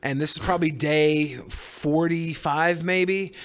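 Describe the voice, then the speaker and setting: Slightly high-voiced man chatting casually. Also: fairly even intonation, a little slow, fairly bright tone, smooth. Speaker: podcast panelist. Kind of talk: casual chat, recounting anecdotes.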